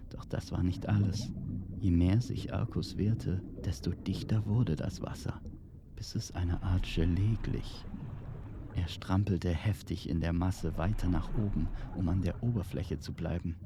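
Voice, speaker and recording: A man narrating a fantasy tale in a suspenseful, measured storytelling manner. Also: sits low, noisy background. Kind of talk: dramatic scripted fantasy narration.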